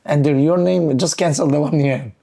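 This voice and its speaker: A man's voice: on the high side, steady tone, normal speed, gravelly, mellow. A man hosting a casual podcast, telling stories animatedly in loose, non-standard English and saying "bro" often. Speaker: podcast host, barbershop owner. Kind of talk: casual storytelling chat.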